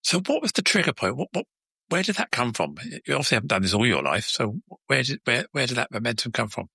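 A man's voice: medium pitch, big pitch swings, quick pace, gravelly, pushed and bright, breathy edge, no echo, clean studio sound. A man hosting a conversational podcast interview, chatty and repeating words as he forms his questions. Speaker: podcast host.

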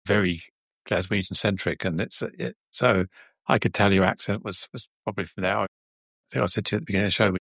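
A man with a slightly low voice hosts a casual interview. He speaks conversationally, feeling his way through sentences in a hesitant, rambling manner. The sound is dry, with very little room in it. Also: fairly even intonation, quick pace, fairly bright tone, no echo. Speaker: show host, interviewer.

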